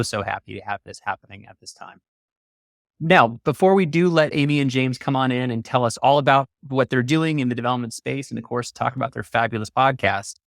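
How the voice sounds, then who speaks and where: A man's voice: mid-range voice, fairly bright tone, no echo, studio quality. A man hosting an interview podcast, talking in a wordy, enthusiastic way. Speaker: podcast host. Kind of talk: upbeat hosted interview talk.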